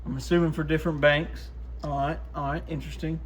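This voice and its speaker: A man's voice: fairly high pitch, little pitch movement, fast. A man casually thinking aloud in short, muttered remarks as he demonstrates and tests gear by hand. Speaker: radio shop host testing gear. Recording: clear background noise with clicks.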